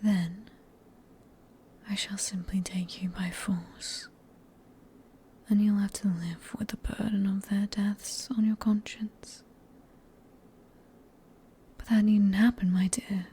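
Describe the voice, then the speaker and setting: Whispered ASMR role: actress as a possessive, sadistic British vampire woman, very breathy, unhurried, coaxing menace. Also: mid-range voice, dark tone. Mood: coldly threatening. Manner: slow, menacing, coaxing with pet names.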